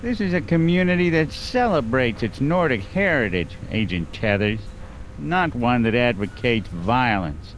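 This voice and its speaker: An actor playing a dismissive, evasive small-town sheriff, for an animated game. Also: male voice, mid-range voice, a little slow, a little hiss or hum, poor audio. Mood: defensive. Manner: folksy, condescending.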